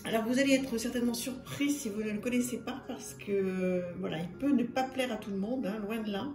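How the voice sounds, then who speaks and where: A woman's voice: a little high, brisk. A woman hosting her tarot channel, showing a deck in a casual, chatty way with many fillers and repeated words. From France. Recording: echoey room with music behind. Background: soft music.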